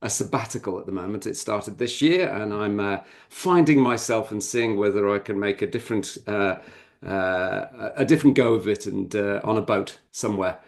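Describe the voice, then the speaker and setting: Male podcast co-host and author, lower register, conversational and meandering, candid with a dry joking edge. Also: moderate pace, gravelly. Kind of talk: casual interview talk.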